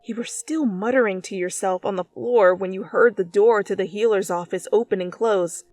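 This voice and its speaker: A woman reading fan fiction aloud as an expressive, theatrical second-person narration. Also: medium pitch, normal speed, no room sound. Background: music.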